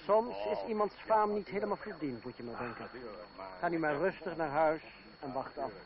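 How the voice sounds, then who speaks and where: A man's voice: a little high, lively intonation, normal speed. An actor in a radio play voicing a cocky, witty French swordsman, mock-polite and unafraid, with ironic taunts. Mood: calm. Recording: muffled old radio drama recording, crowd behind.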